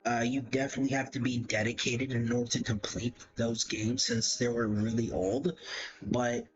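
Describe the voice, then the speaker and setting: A man's voice: mid-range voice, level tone, normal speed. A man giving casual livestream commentary, thinking aloud hesitantly and often correcting himself as he goes. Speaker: livestream host. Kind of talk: casual livestream commentary, ranking game characters. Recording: dull lo-fi mic, music behind. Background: background music.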